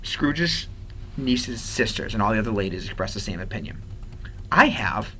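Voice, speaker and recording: A man reading a story aloud as a teacher, with expressive narration. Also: fairly low pitch, normal speed, slight background noise.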